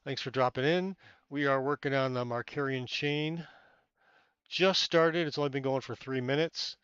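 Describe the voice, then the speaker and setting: A man's voice: mid-range voice, moderate pace, fairly bright tone, clean tone, no echo, dull audio. A man hosting a casual amateur astronomy live stream from inside a small tent, chatting with viewers, thanking them by name, rambling with many fillers, and a little stuffy-nosed.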